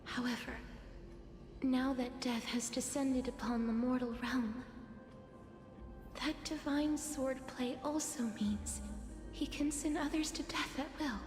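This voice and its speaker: A woman playing a gentle, sorrowful young woman bound to death in a video game, soft, breathy and solemn. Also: high-pitched, fairly even intonation. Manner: solemn, measured. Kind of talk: dramatic video game acting. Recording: echoey reverb, faint music underneath. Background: faint game music.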